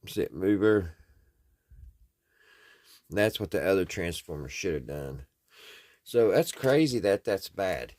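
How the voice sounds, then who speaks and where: A man's voice: sits low, animated, slow, long pauses, a little mellow, dry recording, studio quality. An American man talking casually and folksily, thinking aloud as he hosts a hands-on repair vlog. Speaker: host of a radio repair video.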